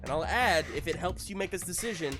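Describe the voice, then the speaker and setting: A game master hosting and narrating a tabletop roleplaying game, moving between drawn-out suspenseful narration and casual chat. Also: mid-range voice, lively intonation. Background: music.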